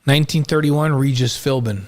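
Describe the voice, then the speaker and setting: A man's voice: mid-range voice, normal speed. A middle-aged man co-hosting a sports talk show, mixing casual banter with short read-out items. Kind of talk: casual banter reading on-this-day history items.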